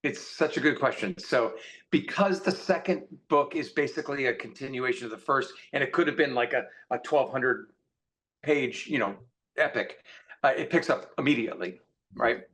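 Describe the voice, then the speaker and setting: A man's voice: medium pitch, normal speed, fairly bright tone. A man speaking as a guest author in a casual panel conversation, thinking aloud and repeating for emphasis. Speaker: science fiction author, guest.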